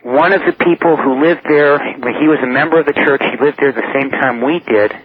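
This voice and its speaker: A man's voice: a little high, a little fast, slight background noise. An American man calling a radio show by phone to tell a personal ghost story. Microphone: telephone. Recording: dull lo-fi phone call to radio.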